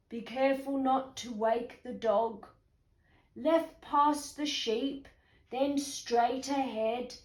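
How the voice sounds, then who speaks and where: A woman playing bumbling male thieves in a children's story, plotting in deliberate, conspiratorial rhyme. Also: a little high, reverberant, rough recording. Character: two scheming, bumbling male cattle thieves.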